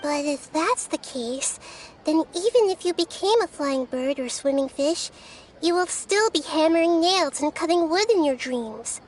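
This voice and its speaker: Tiny wise goddess kid from a game, really high voice, gentle n thoughtful. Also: female voice, expressive, a little hiss or hum. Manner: thoughtful, measured, advising. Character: gentle, wise childlike young girl deity. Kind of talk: video game voice acting.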